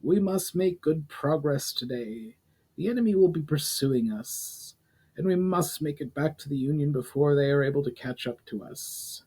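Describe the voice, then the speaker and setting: A seasoned fantasy general, played by a man reading aloud, grave and commanding. He speaks in measured, patient instruction, like a veteran officer in a storybook, with his pitch lifted a little into firm emphasis. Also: expressive, dark tone, slightly rough. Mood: brisk command. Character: seasoned middle-aged male army general, grave and patient.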